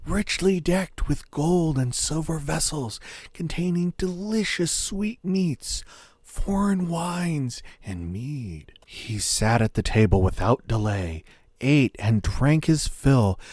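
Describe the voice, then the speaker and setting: A man narrating a scripted fairy tale as a storyteller, in a measured, dramatic manner. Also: mid-range voice, lively intonation, a little mellow, slightly rough, no echo.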